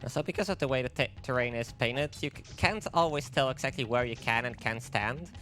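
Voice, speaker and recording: A man co-commentating on a speedrun at a live marathon, chatting quickly as he explains. His voice is bright and crisp, with faint music behind. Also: mid-range voice.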